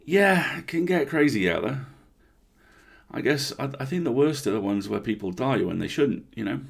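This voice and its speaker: A man's voice: medium pitch, animated, moderate pace, gravelly, slightly rough, pitched above the performer's own voice. A man voicing a blunt, rattled park ranger in a horror story, confiding and swearing in an incredulous, conversational way. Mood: uneasy, confiding.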